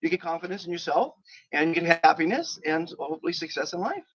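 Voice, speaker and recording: A man's voice: high voice, very animated, fast, gravelly, slightly rough. Rambling upbeat man, lo-fi stream. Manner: rambling and upbeat, with many fillers and chuckles. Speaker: host interviewing a guest. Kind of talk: casual outdoor chat. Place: outdoors on a balcony overlooking volcanoes.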